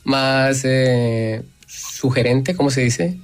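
A man speaking casually as a caller, hesitant and halting, repeating himself as he searches for words. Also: mid-range voice. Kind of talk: casual chat.

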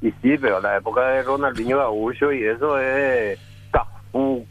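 A man, rambling about football on a radio show in a halting, slangy way. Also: medium pitch, muffled recording. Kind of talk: casual football talk on radio.

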